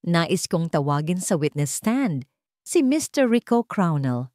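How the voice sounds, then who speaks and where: Woman voicing a formal, relentless male defense attorney, low-pitched. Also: very animated, a little mellow, dry recording, studio quality. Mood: pointed, probing. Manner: deliberate, formal, accusatory courtroom questioning.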